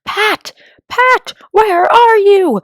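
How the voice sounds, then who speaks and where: A woman voicing a bossy, impatient, irritable male rabbit in a children's storybook, with shrill, commanding calls. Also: extremely slow, no echo, clean studio sound, full character voice. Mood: angry. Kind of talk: children's storybook read-aloud.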